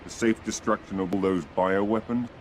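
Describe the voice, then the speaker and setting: A man's voice: lowish voice, fairly even intonation, moderate pace, a little hiss or hum, natural voice. A man playing a cool, dry British secret agent in a game, clipped and understated. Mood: dry, composed. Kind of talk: cinematic game dialogue.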